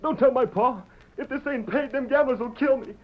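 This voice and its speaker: An actor playing a desperate, pleading young gambler in a western radio drama. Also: male voice, extremely high pitch, brisk. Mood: panicked, pleading. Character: panicky, pleading young gambler caught stealing. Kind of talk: old-time radio drama acting. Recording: dull vintage radio with loud music.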